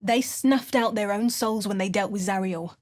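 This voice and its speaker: A woman's voice: medium pitch, moderate pace, a little mellow, natural voice. A woman voicing a wry, resolute female adventurer in a video game, making dry understated remarks and narrating. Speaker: player character.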